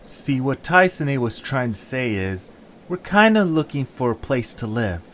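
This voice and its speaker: A man voicing an eager, excitable young hero in an audio drama, earnest and full of exclamations. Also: mid-range voice, a little slow, slight background noise.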